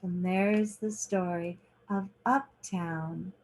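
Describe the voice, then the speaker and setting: Slow-paced woman reading a picture book. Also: on the low side, fairly even intonation, a little mellow, a little hiss or hum. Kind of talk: children's picture book read-aloud.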